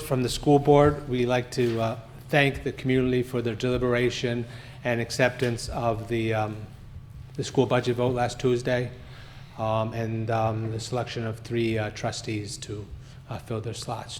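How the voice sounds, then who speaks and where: A man speaking during public comment at a town board meeting, halting with many fillers. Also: medium pitch, level tone, slight background noise. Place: town hall meeting room.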